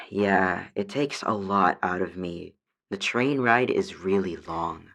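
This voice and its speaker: Lady doing a shy unsure dryad caller for an audio drama, really slow halting delivery, meek. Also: very deep voice, pushed and bright, full character voice. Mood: defensive, tired. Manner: hesitant, unsure, trailing off. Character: hesitant, meek female dryad, homesick for her forest. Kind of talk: audio drama radio call-in.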